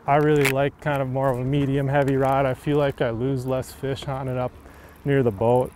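A man in his thirties, a pro angler, explaining fishing technique in a relaxed, easygoing way from an open boat on a lake. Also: medium pitch, normal speed, background noise. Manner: relaxed, easygoing, many fillers. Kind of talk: on-the-water fishing instruction.

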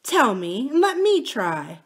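A woman's voice: on the high side, big pitch swings, slow pace, pitched above the performer's own voice. A woman voicing a kind, earnest, gullible young male farmer in an audiobook, eager and reassuring. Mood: concerned, eager. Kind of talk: audiobook character dialogue.

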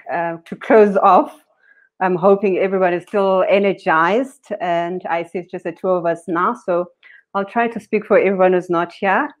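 A woman, a company founder, explaining her work on a panel over a video call, steady with frequent ums. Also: mid-range voice. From South Africa. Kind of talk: panel discussion talk. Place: indoors, home room with curtains. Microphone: webcam on video call. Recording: dull lo-fi video call audio.

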